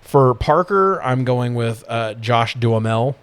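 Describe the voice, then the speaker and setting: A man's voice: medium pitch, animated, measured pace, clear, resonant tone. A man co-hosting a podcast, chatting casually about movies in slangy, profane language. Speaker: podcast host.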